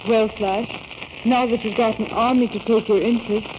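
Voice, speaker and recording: An actress playing a devoted, anxious young sweetheart in a 1930s radio serial, plaintive and tender, on a crackly old recording. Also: female voice, on the high side, fairly even intonation, normal speed, natural voice. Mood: hurt, plaintive. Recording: crackly, dull vintage radio broadcast.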